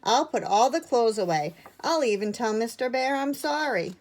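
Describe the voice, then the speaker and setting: Small male teddy bear for a picture book female reader: cheeky, excitable, bold, raised pitch. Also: normal speed, lightly acted, poor audio. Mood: coaxing, eager. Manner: bold, grumbly, exclaiming.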